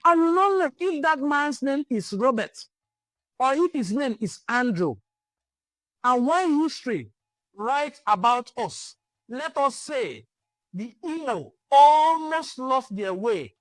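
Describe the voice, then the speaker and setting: A woman's voice: on the high side, no room sound. Rallying Nigerian woman, dry close sound. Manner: rallying, repetitive exhortations. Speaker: cultural channel host. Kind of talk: impassioned cultural address.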